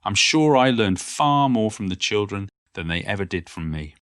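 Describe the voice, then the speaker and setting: A man's voice: on the low side, animated, normal speed, fairly bright tone, clear, resonant tone, no echo, studio quality. A man playing an elderly poet and former schoolteacher, reminiscing in a modest, wry and reflective monologue. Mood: fond, enthusiastic. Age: older. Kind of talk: reflective first-person monologue, read from a book.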